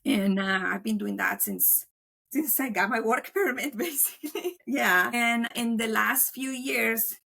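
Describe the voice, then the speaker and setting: A woman's voice: fairly high pitch, unhurried, vocal fry, sharp tone. A woman from Colombia with a Colombian accent, chatting as an interview guest over a video call, rambling with many fillers. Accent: Colombian Spanish. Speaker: guest, veterinary technician and instructor. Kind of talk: casual interview chat. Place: home setup, remote recording.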